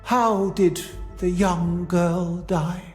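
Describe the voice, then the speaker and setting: A man's voice: high voice, steady tone, extremely slow, darkish tone, echoey room. A man voicing a blunt, pious older bishop in a video game, haughty and curt in manner. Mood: guarded, indignant. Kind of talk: scripted video game dialogue acting. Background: music.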